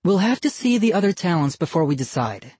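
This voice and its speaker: A man voicing a brisk, commanding mother who organizes the children in a storybook reading. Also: high voice, big pitch swings, a little mellow, breathy edge, no echo, pitched above the performer's own voice, exaggerated voice. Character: bossy caring mother hosting kids.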